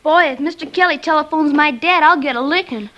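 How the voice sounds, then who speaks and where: A woman's voice: high-pitched, a little fast, a bit bright, muffled recording. A woman playing a reluctant, skittish, slangy neighborhood kid in an old radio drama. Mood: balky, scared. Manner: slangy, childlike. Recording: very noisy old radio recording.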